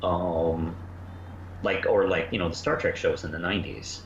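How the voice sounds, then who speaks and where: A man co-hosting a casual review discussion, thinking aloud in a hesitant, halting way. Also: low-pitched, fairly even intonation. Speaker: podcast co-host. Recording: dull lo-fi sound, faint background noise.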